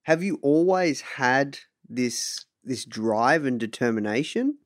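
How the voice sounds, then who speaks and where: A male interviewer with a middle-pitched voice puts questions in a halting, conversational way, with short murmurs of agreement. The recording is dry and close. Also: expressive, no echo. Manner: brief listener sounds, halting questions. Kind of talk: casual interview.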